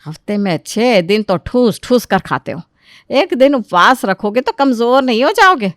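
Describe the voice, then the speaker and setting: Stern religious mother-in-law played by a woman, older, bossy, insistent, high and crisp, for moral story. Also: sing-song, moderate pace, dry recording, studio quality. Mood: scolding. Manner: commanding, scolding, insistent. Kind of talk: acted dialogue in a moral story.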